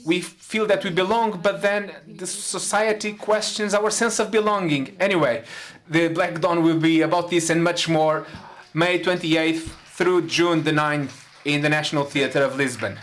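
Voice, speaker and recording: A woman's voice: on the low side, fairly even intonation, unhurried, few pauses. A woman, a playwright speaking earnestly on a conference panel and repeating words for emphasis. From Portugal.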